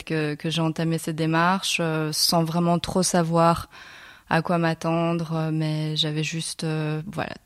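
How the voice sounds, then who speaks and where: A woman in her thirties with a low voice. She tells her story thoughtfully, stopping often to search for the right word. The sound is dry and close. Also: dry recording. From Belgium. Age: 30s. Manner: reflective, pauses often to find her words. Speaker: guest. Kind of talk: personal storytelling interview.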